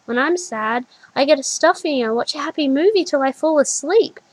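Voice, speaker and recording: A woman voicing a small toddler girl who is earnest and gentle, speaking in simple childlike words. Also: sits high, lively intonation, a little fast, dry recording, voice pushed up, low-quality recording. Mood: insistent.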